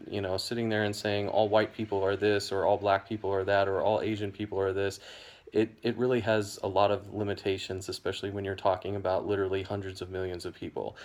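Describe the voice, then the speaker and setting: A young American man explaining a topic to camera in a measured, hedging way, with frequent ums and "you know". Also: fairly low pitch. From United States. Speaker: educational video host.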